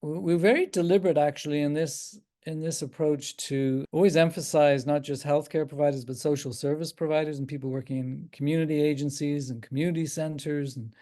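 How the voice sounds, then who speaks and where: An older man with an Irish accent, a psychiatrist speaking as a guest on a video call, thoughtful and halting with frequent restarts. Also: a little high, fairly even intonation. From Ireland. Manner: thoughtful, halting with many restarts and soft laughs. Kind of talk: casual talk on a video call.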